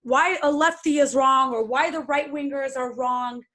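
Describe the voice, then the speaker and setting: A woman's voice: sits high, moderate pace, fairly bright tone. A woman hosting a podcast interview, supportive and asking long, rambling questions. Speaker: podcast host. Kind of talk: conversational interview.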